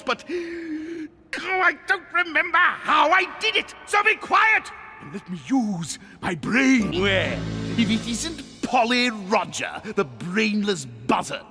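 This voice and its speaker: A vain, scheming lizard monarch from an animated cartoon. The voice sits very high and swings widely, drawn out at a slow, grandiose pace. It is full of theatrical bluster and short-tempered contempt. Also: male voice, full character voice. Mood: wistful, frustrated. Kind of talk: animated cartoon villain acting. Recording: cartoon soundtrack with loud music behind.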